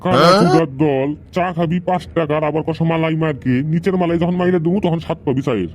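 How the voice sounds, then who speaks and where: A performer playing a gruff adult tea-stall keeper in a comedy cartoon, blunt, mocking and scolding. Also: mid-range voice, quick pace, slight background noise, close to an everyday voice.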